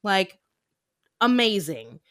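A woman's voice: medium pitch, very animated, slow pace, a bit bright, dry recording, clean studio sound. A young woman hosting a podcast in casual chat, chatty, laughing and trailing off into asides. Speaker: podcast host.

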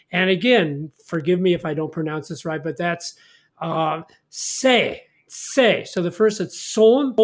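A man leading a conversational Bible study in a folksy, informal way, often putting leading questions to his partner. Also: sits high. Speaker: host of a Bible study discussion.

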